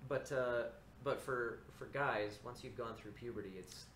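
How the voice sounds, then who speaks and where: A man's voice: medium pitch, moderate pace, a bit bright, slight background noise. A man voice actor hosting a casual livestream chat, easygoing and laughing often as he thinks aloud. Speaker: voice actor and stream host. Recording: roomy echo, faint ambience.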